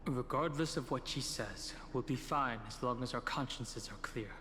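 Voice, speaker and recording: A man's voice: mid-range voice, normal speed, bright, forceful tone, slight background noise, lightly acted. A man voicing an earnest young male outsider who speaks directly and firmly. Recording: game audio with reverb and music.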